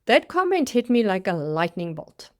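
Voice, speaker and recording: A woman's voice: mid-range voice, very animated, moderate pace. South African woman in her sixties, storytelling. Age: 60s. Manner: dramatic storytelling with acted-out quotes. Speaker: career coach. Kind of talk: scripted storytelling monologue.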